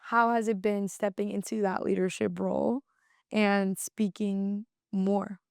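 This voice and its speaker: A woman's voice: mid-range voice, flat delivery, a little slow, gravelly, darkish tone, smooth, no echo, clean studio sound. A woman hosting a podcast, asking questions in an upbeat, encouraging way. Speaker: podcast host. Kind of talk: interview questions.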